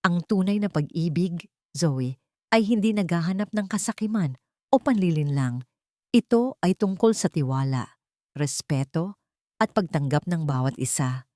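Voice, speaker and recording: Devoted young husband read by a female narrator, solemn and tender, romance storybook, lower pitch. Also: animated, measured pace, mellow, no room sound, studio quality.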